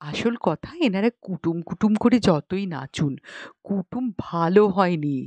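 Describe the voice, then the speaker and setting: A woman's voice: on the low side, lively intonation, a little slow, a little mellow, no echo, dull audio. A woman acting an aggrieved, bossy young matron. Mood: indignant. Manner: indignant, chatty, rhetorical questions. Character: aggrieved, bossy young matron airing grievances about in-laws.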